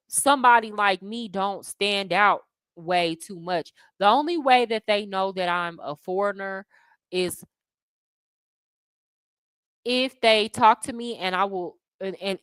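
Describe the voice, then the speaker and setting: A young American woman hosting a casual livestream, chatty and slangy, answering viewers' questions with animated asides. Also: medium pitch, clear, resonant tone, dry recording, poor audio. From United States. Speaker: livestream host answering chat. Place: home abroad.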